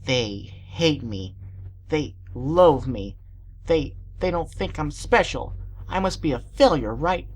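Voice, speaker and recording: A woman plays a spoiled rich filly in a storybook tale. The delivery is haughty and emphatic, swinging widely in pitch. The temper is short and the tone superior. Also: lively intonation, normal speed, slight background noise, lightly acted, low-quality recording. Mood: enraged, determined.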